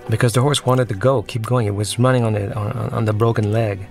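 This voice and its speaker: A man, a film director, giving commentary on his film in a halting way, searching for words. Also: fairly low pitch, steady tone, a little mellow, soft background music.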